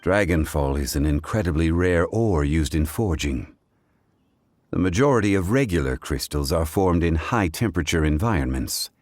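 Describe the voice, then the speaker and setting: Casting: calm scholarly game gentleman, low voice with expressive contours, formal and measured. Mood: serious, explanatory. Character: composed, dignified man, wise and measured scholarly gentleman. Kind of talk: video game voice acting.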